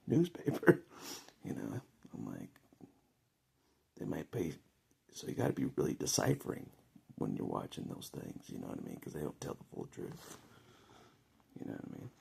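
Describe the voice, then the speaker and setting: An American man with a gravelly, husky voice, chatting casually and jokily in a rambling vlog monologue, often chuckling. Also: rumbling low, wildly swooping pitch, moderate pace, pauses often, a little mellow, slight background noise. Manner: chuckles often, jokey, conversational. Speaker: vlogger giving political opinion.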